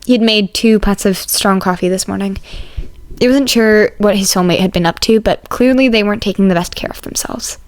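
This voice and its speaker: A woman narrates a scripted story in audiobook style. Her voice sits at a middle pitch, and the recording is very dry with no room sound. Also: no echo.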